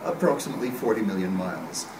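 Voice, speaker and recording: A man playing a measured, skeptical astronomy professor, reading a 1930s radio play from a script. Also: lowish voice, expressive, natural voice. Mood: measured, dismissive. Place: hotel meeting room at a convention panel. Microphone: camera across the room. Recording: echoey noisy room, distant camera mic.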